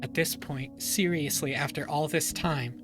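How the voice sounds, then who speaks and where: A man streaming a dramatic first-person reading of a story, pausing and repeating phrases for suspense. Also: on the high side. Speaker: streamer reading a visual novel aloud. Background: music.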